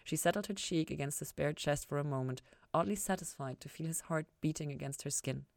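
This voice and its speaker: A woman's voice: deep voice, brisk, creaky, no room sound, clean studio sound. A woman reading fan fiction aloud as scripted story narration.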